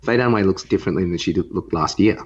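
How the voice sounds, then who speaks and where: A man with an Australian accent and a fairly low voice, recorded with a dull, lo-fi sound. He chats casually as a podcast co-host, chipping in and teasing. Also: very fast, a little hiss or hum. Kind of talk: casual banter about films. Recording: dull lo-fi remote-call sound.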